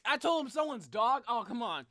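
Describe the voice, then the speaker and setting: Streamer, male, high and lively voice, excitable slangy reactions with repeated phrases, close dry sound. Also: moderate pace, no echo. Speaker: streamer reacting to game videos. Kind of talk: casual live reaction commentary.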